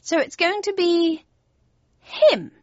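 A woman voicing a grinning, mischievous male Cheshire Cat in an audiobook, with playful, genteel, drawn-out speech. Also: extremely high pitch, no room sound, muffled recording, pitched above the performer's own voice. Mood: musing. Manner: drawling, playful, old-fashioned polite phrasing. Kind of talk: audiobook character voice.

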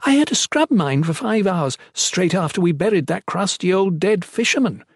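Audiobook role: young male acolyte, played by a male narrator, raised pitch, prim, educated, exasperated, quick to scold. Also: very animated, husky, dry recording, clean studio sound. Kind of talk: audiobook character voice.

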